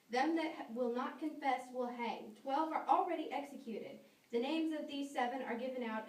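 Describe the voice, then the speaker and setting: A woman's voice: sits high, lightly acted. A woman playing a stern, unbending male judge from Puritan times in an amateur play, declaiming pompously. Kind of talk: amateur stage-play acting. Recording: echoey room, distant mic.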